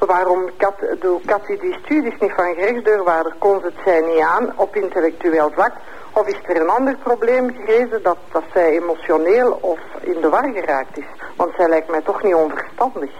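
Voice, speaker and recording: A Flemish woman works through probing questions as an interrogator. She speaks haltingly, restarting phrases, with some faint noise in the recording. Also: lowish voice, a little mellow, lo-fi sound.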